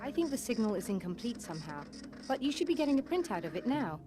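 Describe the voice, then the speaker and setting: An actress playing an excited, curious woman scientist in a video game cutscene. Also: a little high, fast, over music. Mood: intrigued.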